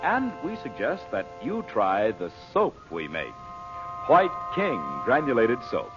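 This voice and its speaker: Lively old-time radio announcer, man. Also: mid-range voice, slow pace. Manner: cheerful, persuasive announcer delivery. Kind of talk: scripted radio ad read. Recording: lo-fi old radio broadcast with music bed.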